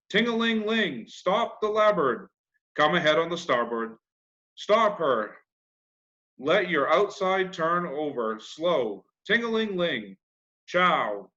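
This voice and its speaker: A man's voice: a little high, bright, forceful tone, lo-fi sound, pitched above the performer's own voice, heavily acted. A man reading a children's classic, voicing a boastful, folksy young boy who barks orders and teases. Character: boastful young boy playing a steamboat captain.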